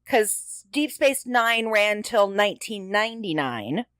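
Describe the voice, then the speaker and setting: A woman co-hosting a casual podcast chat about television, talking with gushing, animated enthusiasm. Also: mid-range voice, slow, no echo. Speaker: podcast co-host. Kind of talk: casual TV episode discussion chat.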